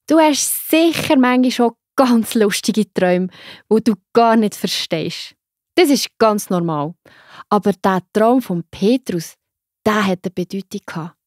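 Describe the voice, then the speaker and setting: A woman narrating a children's Bible story, gently addressing the young listener. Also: medium pitch, lively intonation, unhurried, no echo, studio quality.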